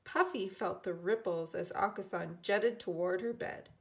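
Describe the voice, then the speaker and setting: A woman teacher reading a children's storybook aloud in an expressive way, acting out the characters. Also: medium pitch, unhurried, gravelly. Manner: expressive, dramatizing characters' lines.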